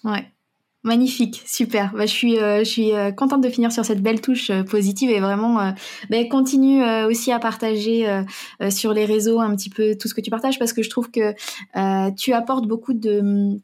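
A woman hosting a conversational podcast interview in an encouraging manner, pausing and feeling for her phrasing. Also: a little high, fairly even intonation, a little mellow. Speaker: podcast host, language teacher.

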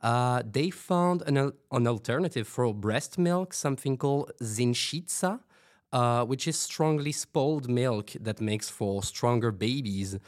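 A man's voice: medium pitch, unhurried, close to an everyday voice. A man playing a self-important, self-assured oddball guest in a fiction podcast. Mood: smug, eager. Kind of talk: fiction podcast panel interview acting.

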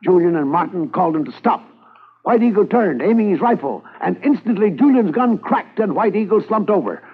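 A man narrating a 1950s radio drama in a brisk, vivid storytelling manner. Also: high-pitched, very animated, slightly rough. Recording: thin phone-like old broadcast with music.

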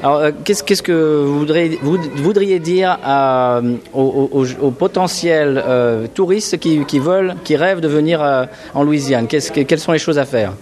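A man's voice: a little high, moderate pace, few pauses, darkish tone. A man from France hosting a radio show, chatty and joking, with frequent stammering restarts. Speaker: radio host. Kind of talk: casual radio chat.

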